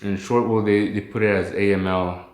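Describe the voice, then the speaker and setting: A man's voice: fairly low pitch, steady tone, normal speed, reverberant. A man chatting casually as a guest on a call, rambling and reflective, with easygoing slang. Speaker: phone guest recounting his illness.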